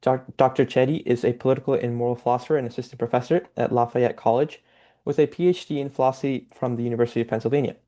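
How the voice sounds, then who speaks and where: A man's voice: mid-range voice, steady tone, very fast, warm tone. A young man hosting a podcast, reading an upbeat intro and then asking casual interview questions. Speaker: podcast host.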